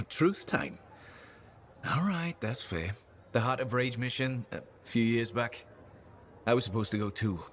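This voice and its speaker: An actor playing a humble, friendly officer who talks casually in short phrases, in video game dialogue. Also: male voice, mid-range voice, a little slow, long pauses, a little hiss or hum, lightly acted. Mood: regretful.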